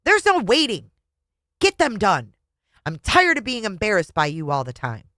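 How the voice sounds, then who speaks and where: A woman's voice: low voice, huge pitch swings, brisk, pushed and bright, dry recording, studio quality, exaggerated voice. A woman voicing a domineering, impatient husband in a story, scolding and blaming with insistent emphasis. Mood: angry, demanding.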